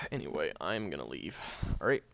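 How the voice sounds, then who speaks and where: A man playing a brash, defensive young man with a secretly caring side in an audio roleplay, speaking bluntly and haltingly in a low, gravelly voice. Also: big pitch swings, full character voice. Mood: flustered, gruff. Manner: blunt, grumbling, sighing, halting delivery. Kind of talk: audio roleplay acting, one-sided dialogue addressed to the listener. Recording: dull home recording with faint noise.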